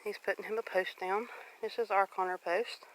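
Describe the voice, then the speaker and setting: A woman's voice: medium pitch, fairly even intonation, moderate pace. A woman in her forties with a Southern US accent, chatting casually and unhurriedly as she walks her rural property outdoors with a handheld camera. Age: 40s. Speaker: homestead vlogger. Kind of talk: casual walk-and-talk narration. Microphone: handheld camera or phone while walking. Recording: noisy outdoor handheld recording with clicks.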